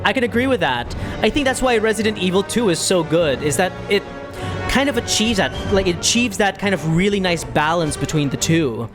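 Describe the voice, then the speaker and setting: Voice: medium pitch, expressive, fairly quick, over music. A game streamer chatting casually and thinking aloud while giving opinions to viewers in a conversational way.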